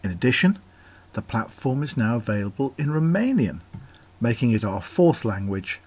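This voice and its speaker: A man's voice: mid-range voice, sing-song, normal speed, a little mellow, slightly rough. A man reading scripted company announcements for a webinar as a voice-over over slides, in an upbeat promotional manner.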